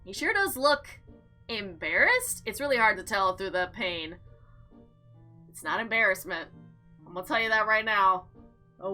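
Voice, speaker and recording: A woman's voice: a little high, sharp tone. A woman playing a visual novel, reading it aloud expressively and dramatically with casual commentary. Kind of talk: let's play narration with casual commentary. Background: faint background music.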